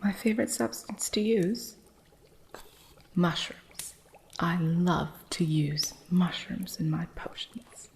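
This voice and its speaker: A woman voicing an eccentric witch shopkeeper who mentors her apprentice, speaking in soft, unvoiced whispers for an ASMR roleplay. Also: on the low side, takes it slowly, vocal fry, a little hiss or hum. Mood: gentle whispering.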